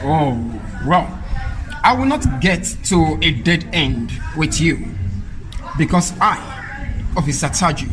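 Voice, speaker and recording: A man's voice: fairly high pitch, lively intonation, slow, pauses often, rough recording. A man with a Nigerian accent playing an upright, pious officer in a radio drama, speaking in earnest, defiant declarations. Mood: resolute.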